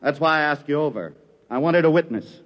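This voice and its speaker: A man's voice: fairly high pitch, a little fast, lightly acted. An actor playing an irritable, impatient male sculptor in a 1950s radio drama. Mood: brusque. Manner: brusque, impatient. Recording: dull old radio broadcast.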